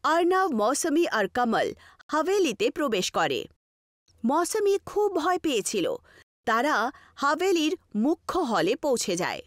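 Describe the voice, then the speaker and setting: High-pitched woman narrating a horror story. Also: very animated, a little slow, dry recording, clean studio sound.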